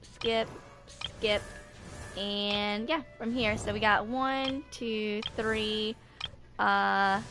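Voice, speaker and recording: A woman in her twenties chatting casually and haltingly to herself during gameplay, with game music behind her. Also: mid-range voice, steady tone. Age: 20s. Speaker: gaming video creator. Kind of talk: casual off-the-cuff gameplay chat.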